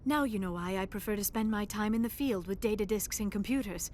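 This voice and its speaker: A woman's voice: medium pitch, a little fast, darkish tone, a little hiss or hum, close to an everyday voice. An actress voicing a young alien woman scientist in a video game, shy and earnest, with formal, precise diction. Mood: flustered. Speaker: archaeologist crew member.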